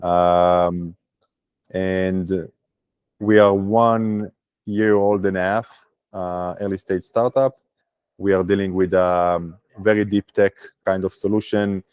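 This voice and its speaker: Deep-voiced man from Israel, hesitant. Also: level tone, slow pace, darkish tone, clear, resonant tone, dry recording. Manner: hesitant, many fillers. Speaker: startup founder. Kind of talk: casual business video call.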